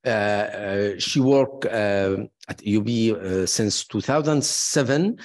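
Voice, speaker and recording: A man moderating an academic panel, introducing speakers in a halting way with many fillers and restarts, adding warm praise and personal asides. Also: lowish voice.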